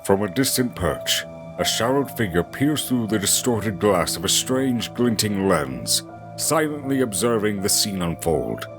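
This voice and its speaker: Low-voiced, animated male fantasy narrator. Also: unhurried, over music. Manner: theatrical. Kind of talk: dramatic scripted fantasy narration.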